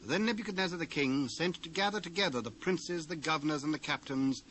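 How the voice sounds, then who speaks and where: A man's voice: a little high, brisk, fairly bright tone. A man with a British accent narrating scripture in a formal style. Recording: noisy old cassette dub.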